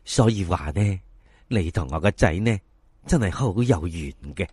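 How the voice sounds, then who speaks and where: A man's voice: deep voice, expressive, normal speed, warm tone, husky, no echo, pitched below the performer's own voice. A man voicing a talkative, fatalistic old village mother in Cantonese, coaxing and persuading with folk sayings in a dramatised folk story. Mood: awed, coaxing.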